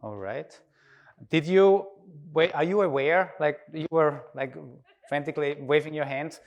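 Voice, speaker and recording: A man's voice: on the high side, unhurried. A man from Austria with an Austrian accent and native German, speaking informally as he gives a conference talk and engages his audience with questions.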